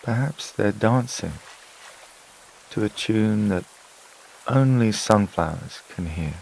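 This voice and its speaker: A man narrating a scripted sleep meditation in a calm, soothing, unhurried way, with long pauses between phrases. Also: lowish voice, gravelly, slight background noise, rough recording. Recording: water and rain sounds behind voice. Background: flowing stream, rain-like ambience.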